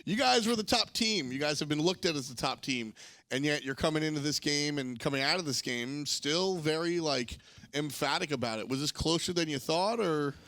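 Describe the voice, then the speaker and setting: A man with a creaky, gravelly voice hosts an esports desk. He presents emphatically, moving between hosting and analysis, and the sound is dry and close. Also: a little high, animated, moderate pace, bright, forceful tone, no echo.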